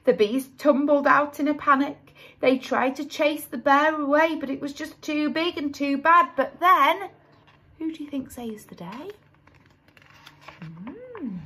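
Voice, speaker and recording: A woman narrating a children's story expressively, with dramatic, drawn-out emphasis on key words. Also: high-pitched, bright, forceful tone, a little hiss or hum. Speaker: storybook reader.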